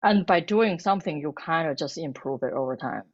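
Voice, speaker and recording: A woman's voice: deep voice, lively intonation. Woman author, chinese native, lots of restarts and fillers, kinda muffled recording. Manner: halting, many restarts and fillers. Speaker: cookbook author and food blogger. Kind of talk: bookstore author talk.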